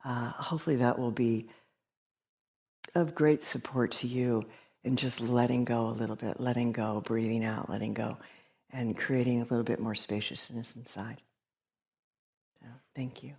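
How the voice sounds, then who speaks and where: Soft-spoken woman teaching meditation. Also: very deep voice, steady tone. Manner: soft, hesitant, many fillers and pauses. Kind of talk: gentle retreat teaching talk. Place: meditation hall.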